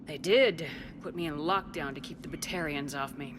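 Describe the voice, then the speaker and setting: A woman's voice: sits low, normal speed, noisy background, natural voice. A woman playing a resolute military commander in a video game, firm and matter-of-fact. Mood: wry. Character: resolute female human military commander, tough and steady. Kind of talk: video game cutscene acting.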